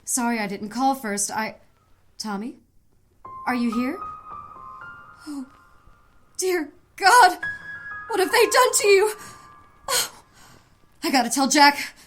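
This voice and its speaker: A woman's voice: high voice, expressive, takes it slowly, long pauses, fairly bright tone, faint music behind, full character voice. A woman acting an emotional detective's friend in an audio drama, urgent and breathless. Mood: horrified.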